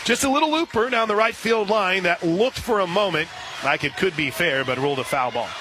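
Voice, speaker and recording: A man doing live radio play-by-play of a softball game, excitable on big plays. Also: high voice, brisk, background noise.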